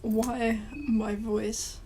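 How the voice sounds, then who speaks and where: A woman's voice: a little high, little pitch movement, extremely slow, warm tone, a little hiss or hum. A woman with a cold and a stuffy nose, rambling casually through a game guide, swearing and restarting her sentences. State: congested from a cold. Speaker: gaming guide host.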